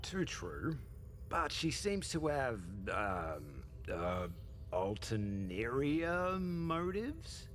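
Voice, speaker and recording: A cheeky British starship captain with a very lively, swinging intonation. He talks in a casual, blunt, slangy way with wry humour, as in a sci-fi video game. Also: mid-range voice, very slow, a little hiss or hum, full character voice. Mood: thoughtful, wry. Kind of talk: scripted video game voice acting.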